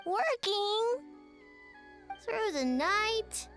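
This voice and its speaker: A little game critter, an eager tanuki cub. The voice is extremely high and very slow, in halting childlike bits, with loud music behind it. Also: female voice, big pitch swings, exaggerated voice. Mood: innocent, puzzled. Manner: simple, halting, broken phrases. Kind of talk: English video game voice acting.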